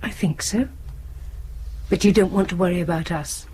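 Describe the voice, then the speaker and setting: An actress playing a concerned, exasperated grown-up British daughter in a naturalistic radio drama, probing and direct. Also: female voice, fairly low pitch, normal speed, mellow, slightly rough. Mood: guarded. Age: young adult.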